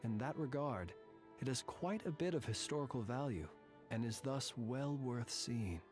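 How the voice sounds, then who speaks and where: Actor as solemn, courteous cemetery guardian. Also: male voice, mid-range voice, animated, a little mellow, faint music behind, natural voice. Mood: solemn, measured. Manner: formal, measured, ornate courteous speech. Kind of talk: video game character dialogue.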